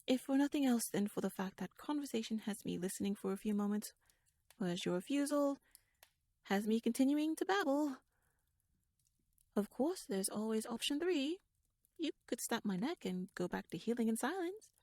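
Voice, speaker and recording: Woman playing a wordy, high-voiced shrewd young noblewoman. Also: expressive, normal speed, a little mellow, no room sound. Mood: nervous, playful. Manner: verbose, rambling, formal courtly phrasing with wry asides. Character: shrewd, wordy young noblewoman, nervous yet playfully bold schemer. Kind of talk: ASMR audio roleplay, addressed to the listener. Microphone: close microphone, dry.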